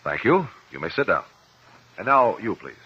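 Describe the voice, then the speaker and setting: An actor with an American accent playing a composed, probing courtroom investigator in a 1950s radio drama, speaking in a deliberate, formal, precise way. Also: male voice, lowish voice, big pitch swings. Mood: calm, probing. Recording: muffled old 1950s radio recording.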